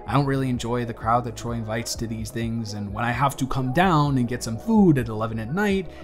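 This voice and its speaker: A man's voice: medium pitch, fairly quick, close to an everyday voice. A young man acting a wry, awkward but kind college student in an intimate, conversational roleplay audio, with a dry, teasing and hesitant delivery. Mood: candid, a bit guarded. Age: young adult.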